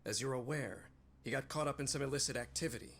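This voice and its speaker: A man's voice: mid-range voice, fast, creaky, fairly bright tone. An actor playing a composed, resolute male official in a video game cutscene, speaking formally and assertively. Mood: firm, composed. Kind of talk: English video game dub, dramatic cutscene acting.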